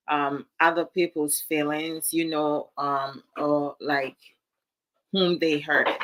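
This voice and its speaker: A woman's voice: sits low, pushed and bright, rough recording. A woman from Ghana, giving casual commentary to her live viewers in a musing, hesitant way with many fillers. Her is Twi. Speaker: vlog host.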